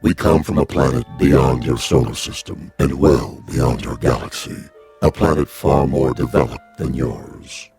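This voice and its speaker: Giant alien envoy, extremely deep. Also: male voice, over music. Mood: courteous, solemn. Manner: slow, formal, measured. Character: giant alien envoy, male, calm and courteous. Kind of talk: radio drama acting.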